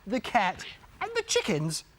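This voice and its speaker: A man reading a children's storybook aloud in a theatrical way, drawing out phrases and using dramatic pauses. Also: high voice, wildly swooping pitch. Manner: theatrical, with drawn-out phrases, gasps and dramatic hushes. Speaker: storybook reader.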